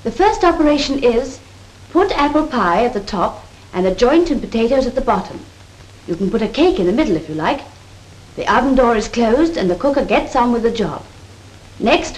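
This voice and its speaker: A woman's voice: a little high, lightly acted. A woman playing an assured cookery demonstrator in a 1930s British film, speaking precise Received Pronunciation with a proper, instructive manner. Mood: instructive, encouraging. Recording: worn, noisy 1930s film soundtrack.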